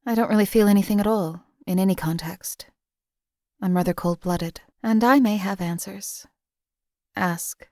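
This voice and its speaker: A woman voicing a cold-blooded female assassin companion in a game, flat, clipped and detached. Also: medium pitch, normal speed, no room sound, studio quality, lightly acted.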